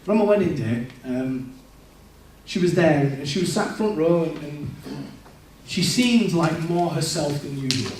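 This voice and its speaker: A man's voice: on the high side, expressive, measured pace, a little mellow, slight background noise. A young man giving a candid, self-deprecating personal testimony in a church, with frequent restarts. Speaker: church speaker. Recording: echoey church hall, mic far off.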